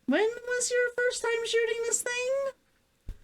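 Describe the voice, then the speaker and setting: A woman voicing a gruff but caring male hunter in a story, instructing in a measured, matter-of-fact way. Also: very high-pitched, level tone, background noise, pitched above the performer's own voice. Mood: gruff, blunt. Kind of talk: fiction read-aloud character dialogue.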